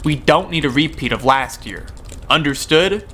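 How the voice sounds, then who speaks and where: Bright, forceful, scheming teen boy leader acted by a man. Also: a little high, expressive, normal speed, a little hiss or hum. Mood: rallying. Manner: commanding, terse, urgent. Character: intense, scheming teenage boy leading a debate team. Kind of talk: amateur radio drama acting.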